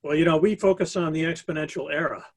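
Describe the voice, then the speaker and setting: A man's voice: on the high side, steady tone, quick pace, no room sound. Co-host man with a dry sound. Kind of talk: interview hosting.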